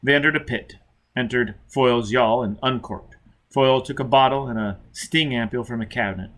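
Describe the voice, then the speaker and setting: A man's voice: mid-range voice, fairly quick, a bit bright. A man reading a novel aloud as dramatic scripted narration. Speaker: audiobook reader.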